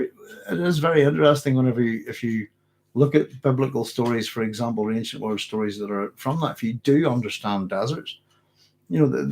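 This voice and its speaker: Guy hosting a late night sci-fi live stream q&a, rambly, keeps restarting his sentences, medium pitch. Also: a little mellow. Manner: rambling, self-correcting, conversational. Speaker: host of a science fiction channel. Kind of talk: late-night live Q&A, reading viewer comments.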